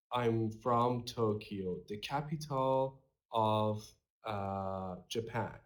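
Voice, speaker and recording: A young man recording an online English lesson from a small room on his webcam, slowly explaining words and reading workbook dialogue aloud. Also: mid-range voice. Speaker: English teacher.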